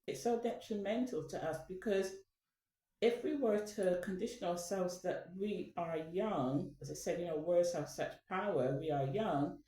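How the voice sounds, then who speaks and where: Slow, mellow-voiced woman in an echoey room. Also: medium pitch, far from the mic. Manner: rambling, many fillers and restarts. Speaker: channel host. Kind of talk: casual spoken talk. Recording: echoey room, away from the mic.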